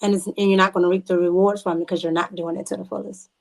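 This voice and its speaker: A woman from the Bronx speaking as a podcast guest, chatting informally and haltingly, often repeating words. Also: on the low side, level tone, vocal fry, poor audio. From the Bronx, New York. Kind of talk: casual interview chat.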